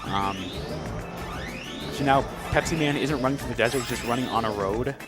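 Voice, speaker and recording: Wry male speedrunner over loud game music. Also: mid-range voice, normal speed. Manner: wry, understated joking. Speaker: speedrunner commentator. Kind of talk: casual live speedrun commentary. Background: loud game music and sound effects.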